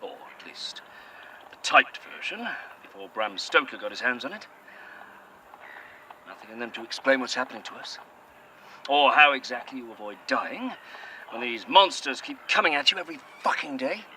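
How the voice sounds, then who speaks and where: A man's voice: mid-range voice, expressive, normal speed, pauses often, pushed and bright, a little hiss or hum, rough recording. A man playing a bitter, sweary cynic. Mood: dry sardonic. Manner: curt, sarcastic, heavy swearing. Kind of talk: audio drama acting.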